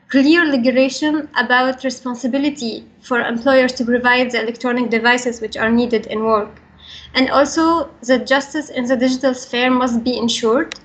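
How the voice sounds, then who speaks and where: A young woman with an Egyptian accent giving a prepared talk in an earnest, formal manner with careful phrasing. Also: fairly high pitch. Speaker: regional coordinator for a young workers' organisation. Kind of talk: prepared talk, reading statement. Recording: dull, band-limited recording.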